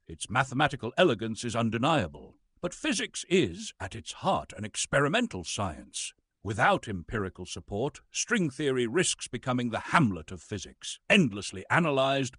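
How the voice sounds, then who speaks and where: A man playing a pompous, waspish Shakespearean actor delivering a theatrical comic lecture with arch, dramatic pauses. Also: mid-range voice, fairly bright tone, breathy edge, no room sound, clean studio sound.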